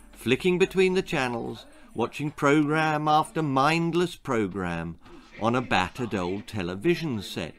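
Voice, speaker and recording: A man in his sixties with a British accent reading his own children's story aloud in a theatrical, deliberate, suspenseful manner. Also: medium pitch, fairly bright tone. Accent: British English. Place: small book-lined room indoors.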